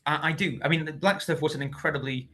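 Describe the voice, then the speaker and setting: A man's voice: on the high side, fast, bright, forceful tone. A man from Liverpool, a stage actor, talking earnestly in an interview, often restarting and repeating words as he thinks. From Liverpool, England. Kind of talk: conversational interview.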